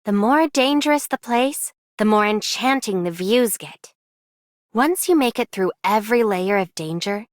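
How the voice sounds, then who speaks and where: A woman's voice: medium pitch, dry recording, studio quality, close to an everyday voice. An actress voicing a bold, poetic, thrill-seeking woman adventurer in a video game, dramatic and musing. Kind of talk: video game character voice lines.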